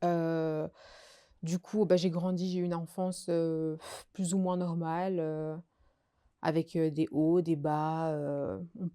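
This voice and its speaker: Low, mellow-voiced Belgian-born woman guest. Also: flat delivery, slow, clean tone. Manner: hesitant, many fillers and restarts. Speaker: guest sharing her testimony. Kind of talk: casual conversational testimony.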